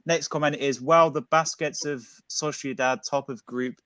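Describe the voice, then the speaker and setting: A man's voice: mid-range voice, steady tone, moderate pace, sharp tone, dry recording, clean studio sound. A man hosting a casual football chat and reading out viewer comments. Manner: chatty, reads out viewer comments. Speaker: podcast host.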